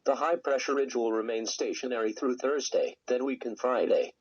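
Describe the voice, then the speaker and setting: A synthetic text-to-speech male voice reading a scripted weather radio forecast in a measured, impersonal way. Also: mid-range voice, fairly even intonation, breathy edge. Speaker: automated weather radio announcer. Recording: dull lo-fi weather radio recording.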